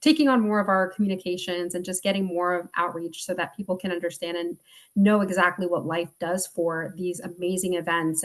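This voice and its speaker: A woman in her thirties talks on a video call, her voice a touch low. She is chatty and upbeat, filling her sentences with fillers as she presents on a webinar. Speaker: marketing communications specialist.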